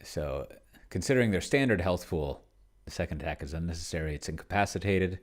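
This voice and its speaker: A man casually thinking aloud as he plays a solo tabletop game, halting and muttering to himself as he types. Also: low-pitched, expressive. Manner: self-described pedantic. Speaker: solo tabletop player and video host.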